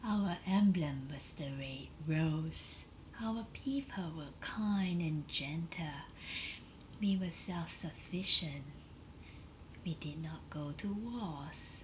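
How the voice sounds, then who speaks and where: A woman voicing a seductive princess of a dark fantasy kingdom, telling her story as a whispered ASMR bedtime tale in a breathy, sensual, dramatic way. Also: low-pitched, slight background noise, rough recording. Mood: wistful, tender. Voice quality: breathy, mostly unvoiced whisper. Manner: slow, sensual, dramatic pauses.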